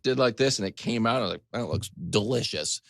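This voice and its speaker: A man in his forties, a sports talk host, telling a comedic story in a casual, animated way with choppy asides and acted-out quotes. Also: lowish voice, fairly quick, vocal fry, no room sound. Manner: animated, acts out quotes in dialogue.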